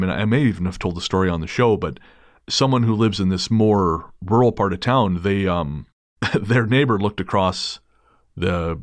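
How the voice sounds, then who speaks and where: A male podcast host with a lower voice, trading stories and crude jokes in a relaxed chat. His sound is close and dry, with no room around it. He laughs easily at his own lines. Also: dry recording. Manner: joking, crude humor with easy laughter. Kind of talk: casual podcast conversation.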